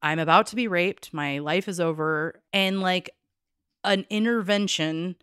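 A woman's voice: fairly low pitch, measured pace, fairly bright tone, clean tone. A woman hosting a true crime podcast, telling stories in a casual, candid, informal way. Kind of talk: casual storytelling chat.

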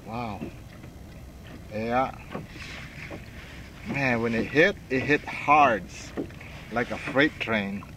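Excitable middle-aged man whooping on a kayak. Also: medium pitch, very slow, long pauses. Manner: excited whoops and laughter, short exclamations. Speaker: kayak angler filming himself. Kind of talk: live reactions while fishing. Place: kayak on open bay water outdoors. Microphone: action camera mounted on kayak. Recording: wind and water noise.

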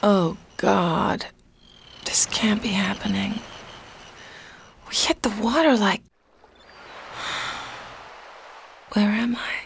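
A woman's voice: lowish voice, gravelly, slight background noise, full character voice. A woman voicing a young woman stranded on a dinosaur island, who talks to herself in a wry, frightened way. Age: young adult. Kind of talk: game dialogue and narration.

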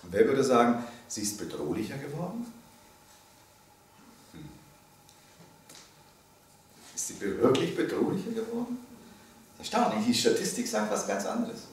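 A man in his early seventies giving a public lecture, speaking emphatically and deliberately, pausing for weight and putting questions to his listeners. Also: mid-range voice, very animated, gravelly. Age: early 70s. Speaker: lecturer, theology professor. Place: indoor seminar room. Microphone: microphone on a stand. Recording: very echoey room, distant, faint noise.

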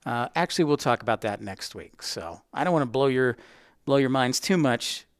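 Male educator-host for a casual livestream. Mid-pitched, clean voice, rambling conversational delivery, very little room sound. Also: lively intonation, moderate pace, dry recording, clean studio sound. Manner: chatty, rambling, addressing viewers by name. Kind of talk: casual livestream chat with viewers.

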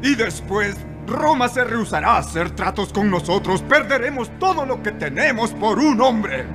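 A man playing an alarmed, ruthless religious leader, a villain in a dubbed animated Bible film. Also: sits high, moderate pace, over music. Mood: alarmed scheming.

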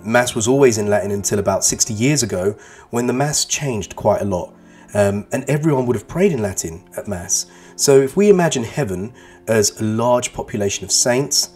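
A man's voice: lowish voice, a little mellow, slightly rough. A man in his thirties from East London, whose first language is Arabic, giving an earnest, persuasive lesson to pupils with emphatic repetition. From England. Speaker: teacher. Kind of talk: voice-over religious lesson for pupils. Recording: voice-over with faint guitar music.

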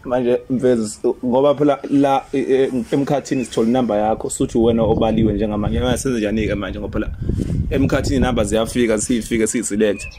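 A man's voice: mid-range voice, fairly even intonation, quick pace, mellow, slight background noise. A young man hosting a comedy prank phone call outdoors, talking in casual street style. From South Africa. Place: outdoors beside a parked car under a carport.

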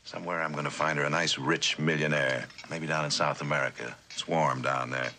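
Deep-voiced, weary military pilot, noisy soundtrack. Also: male voice, fairly even intonation, fairly quick, bright, forceful tone. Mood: wistful, wry. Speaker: actor. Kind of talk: 1960s television drama acting. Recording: noisy, clicky old television soundtrack.

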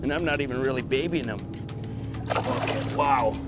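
A man's voice: mid-range voice, normal speed. A man with a folksy, colloquial way of talking, demonstrating and chatting as an angler on a fishing show, outdoors on a boat. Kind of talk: fishing demonstration and casual chat. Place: outdoors on a boat on open lake. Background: music.

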